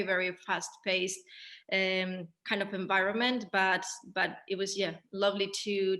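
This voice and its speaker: A woman moderating a conversational post-show interview, phrasing her thoughts in a measured, halting way. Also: mid-range voice, bright, forceful tone. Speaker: theatre director, Q&A moderator.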